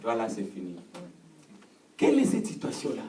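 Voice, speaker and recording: A man preaching with call and response in a church hall, fervent and repetitive, often shouting. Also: fairly low pitch, wildly swooping pitch, normal speed. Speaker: pastor. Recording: echoey hall, distant mic, congregation noise. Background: congregation answering.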